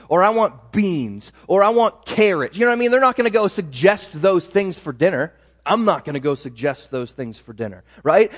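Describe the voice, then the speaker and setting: Man, pastor, conversational sermon, high voice, reverberant hall. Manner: conversational preaching with restarts and asides.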